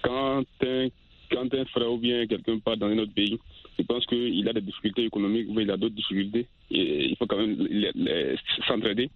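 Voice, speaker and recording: A man calling a radio show, giving his opinion in halting, repetitive phrases. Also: lowish voice, no echo. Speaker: radio phone-in caller. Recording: tinny dry phone line.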